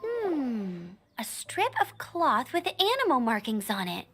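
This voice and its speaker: A little game companion fairy with an extremely high, bright, childlike voice. She is chirpy and inquisitive, prone to exclaiming, with music playing behind her. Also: wildly swooping pitch, takes it slowly, exaggerated voice. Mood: eager, inquisitive. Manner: refers to self in third person, exclaims. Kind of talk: video game voice acting.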